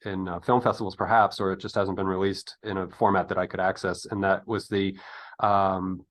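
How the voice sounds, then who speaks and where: Low-pitched man hosting a casual interview. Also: level tone, fairly quick. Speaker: interviewer, podcast host.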